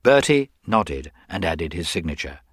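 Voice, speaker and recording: A man giving a dramatic, expressive narration of a crime story. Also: sits low, unhurried, breathy edge, no echo, studio quality.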